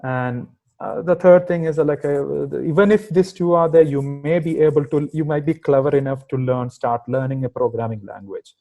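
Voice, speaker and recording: A man's voice: on the high side, steady tone, normal speed, creaky, mellow. A man from India with a mixed Indian and American accent, answering interview questions on a video call with many fillers and emphatic asides. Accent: Indian with some American. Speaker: guest software engineering manager.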